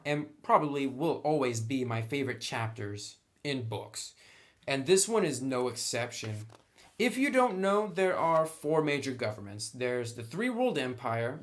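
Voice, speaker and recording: Male reviewer, medium pitch, informal and conversational delivery, freely giving opinions. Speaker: tabletop game book reviewer. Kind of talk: casual review commentary.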